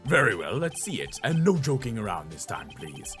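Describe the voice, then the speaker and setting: A man's voice: medium pitch, very animated, fairly bright tone, soft background music. A man voicing a weary old judge. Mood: stern. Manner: slow, grave, formal. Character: stern elderly male judge, weary, formal. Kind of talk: video game voice acting.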